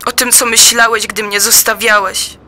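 A woman giving a dramatic poetry recitation in a plaintive, reproachful and deliberate manner. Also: a little high, flat delivery, sharp tone. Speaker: performer in an audio play. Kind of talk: dramatic recitation of a personal poem.

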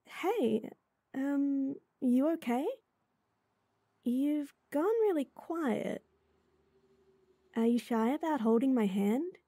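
A woman voicing a sweet, bubbly young rental girlfriend in an intimate roleplay audio, giggly, chatty and gently reassuring. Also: sits high, no echo, clean studio sound, full character voice. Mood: gentle, slightly shy. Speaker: voice actor.

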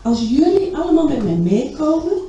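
A woman's voice: high-pitched, very animated, moderate pace, warm tone, lo-fi sound, pitched above the performer's own voice. A woman voicing a mysterious male moth who calls the others to follow him, in a solemn, inviting tone, heard in a roomy live recording from a distance.

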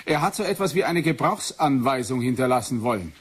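A precise, husky research doctor. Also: male voice, mid-range voice, animated. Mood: intent, explaining. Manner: measured, formal, clipped dictation. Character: male research doctor, precise, analytical, brooding. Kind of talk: 1980s German science fiction radio play acting.

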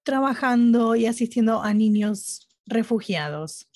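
A woman giving live interpretation at a webinar, speaking haltingly phrase by phrase. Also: fairly high pitch, animated, a little mellow, dry recording. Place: remote online conference audio channel.

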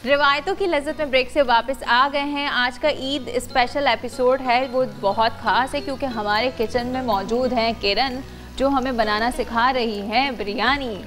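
A woman's voice: on the high side, fairly even intonation, moderate pace, a bit bright. A woman with a Pakistani accent presenting a cooking show, questioning a guest and repeating back answers. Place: TV kitchen studio. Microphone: lapel mic. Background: music.

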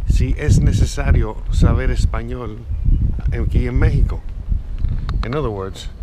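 A man in his thirties from Miami, a native Spanish speaker with an American accent, talking in a casual, halting, rambling way to camera as he walks. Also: medium pitch. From Miami, United States. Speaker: vlogger. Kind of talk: casual walking vlog to camera. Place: city street outdoors, walking. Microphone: action camera at arm's length. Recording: noisy outdoor street with traffic. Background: traffic and street ambience.

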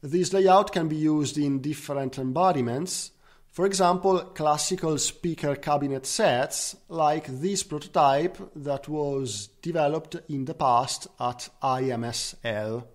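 Man, slightly high voice, researcher, technical conference presentation. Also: unhurried. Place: recorded online slide talk.

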